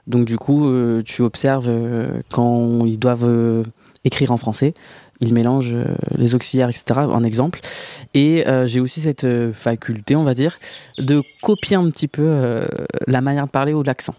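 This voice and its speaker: A man in his twenties from Réunion, a podcast guest speaking casually and hesitantly with many pauses. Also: medium pitch, fairly even intonation, dark tone, no echo. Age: 20s. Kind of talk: casual conversation.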